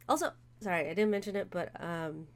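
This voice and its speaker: A woman's voice: medium pitch, moderate pace. Congested young woman rambling over gameplay. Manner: rambling, filler-heavy, self-interrupting. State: congested from allergies. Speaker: streamer playing a visual novel. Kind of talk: casual let's-play commentary.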